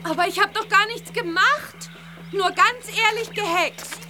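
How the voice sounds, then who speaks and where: A woman's voice: extremely high pitch, a little slow, heavy background noise. A woman playing a plucky, cheeky young witch girl in a children's audio drama, with emphatic delivery. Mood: puzzled. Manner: emphatic, chants rhyming spells.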